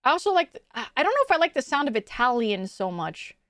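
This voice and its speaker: A young woman with a high voice, chatting casually with her stream chat in a rambling way, stumbling over her words and laughing often. She is close to the mic and her voice sounds dry, with no room around it. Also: big pitch swings, fast, a bit bright, no echo.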